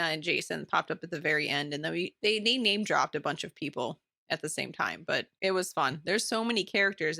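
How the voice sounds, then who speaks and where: A woman with a lower-pitched, bright voice and a gravelly fry edge chats casually as a podcast co-host. She sounds informal and talkative, recorded close and dry. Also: no echo. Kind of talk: casual book club chat.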